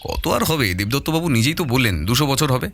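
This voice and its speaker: A man's voice: mid-range voice, sing-song, brisk, vocal fry, fairly bright tone, a little hiss or hum. A man voicing a curious, eager young sidekick of a detective in an audio story, inquisitive and deferential. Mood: wary, hesitant.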